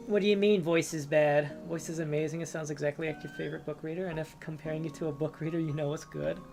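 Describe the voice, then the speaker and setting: A man streaming a game live, chatting casually and musing out loud, with lots of restarts and fillers. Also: fairly high pitch, steady tone, fast, vocal fry. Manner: rambling, reflective, with many restarts and fillers. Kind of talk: casual live-stream chat while gaming. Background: loud game music.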